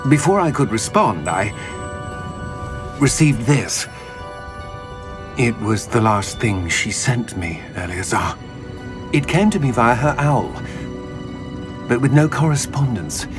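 A man playing an earnest middle-aged wizard official in a video game, speaking in a formal, gentlemanly way. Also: mid-range voice, sing-song, slow, long pauses. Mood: grave, earnest. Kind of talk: cinematic game dialogue acting. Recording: music under the dialogue.

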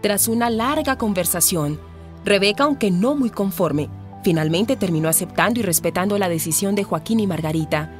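Woman narrator, Colombian accent, medium pitch, scripted radio soap delivery, faint music. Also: expressive, normal speed.

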